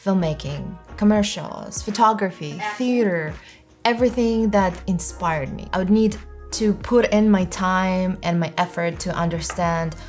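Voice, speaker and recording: A woman's voice: fairly low pitch, unhurried, soft background music. Kazakh-accented woman telling her story. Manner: earnest, reflective, lists in short phrases. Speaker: filmmaker vlogger. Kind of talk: personal storytelling to camera.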